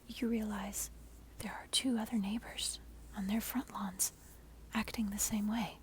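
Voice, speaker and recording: A woman telling a scary story in a breathy, unvoiced ASMR whisper, close to the microphone. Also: mid-range voice, steady tone, measured pace. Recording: soft noise, mic scratching. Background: scratching and layered sounds.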